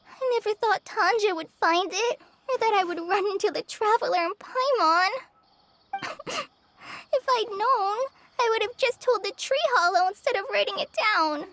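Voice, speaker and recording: A woman's voice: extremely high pitch, measured pace, a bit bright, slight background noise, exaggerated voice. A woman voicing a shy, anxious young forest ranger in a video game, girlish, hesitant and easily flustered. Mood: fretting. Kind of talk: video game character acting. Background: background music.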